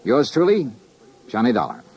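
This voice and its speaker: An American actor playing a confident, wry, dogged insurance investigator in a crisp, punchy 1950s radio detective style. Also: male voice, mid-range voice, huge pitch swings, moderate pace, muffled recording, heavy background noise. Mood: wry narration. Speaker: star of a radio detective series. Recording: vintage 1950s radio broadcast.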